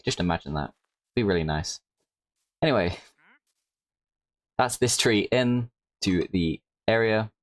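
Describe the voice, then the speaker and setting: A man giving casual, rambling commentary over his gameplay, his voice a little hoarse. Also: lowish voice, lively intonation, unhurried, pauses often, no echo. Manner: rambling, self-correcting, with frequent "like". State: slightly hoarse. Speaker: Minecraft creator.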